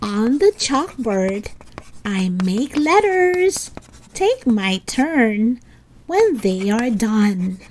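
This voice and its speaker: A woman reading a picture book aloud to young children, expressively, with sing-song, deliberate phrasing. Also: on the high side, big pitch swings, a little mellow, a little hiss or hum. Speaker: children's story reader.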